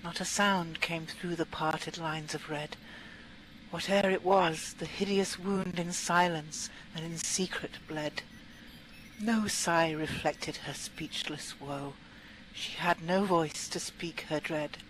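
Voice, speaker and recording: A woman reading poetry aloud in an expressive, measured and dramatic manner. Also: deep voice, slight background noise, poor audio. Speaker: poetry reader.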